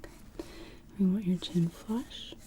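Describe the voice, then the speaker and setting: A woman speaking in a soft whisper, gently giving step-by-step instructions as an optometrist in an ASMR medical roleplay. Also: fairly low pitch, takes it slowly. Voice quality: soft, mostly unvoiced whisper.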